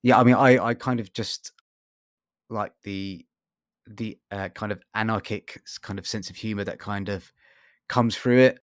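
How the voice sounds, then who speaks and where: A man's voice: lowish voice, steady tone, normal speed, no echo, clean studio sound. A man hosting a podcast interview, asking long rambling questions full of fillers. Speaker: podcast host. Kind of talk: casual interview.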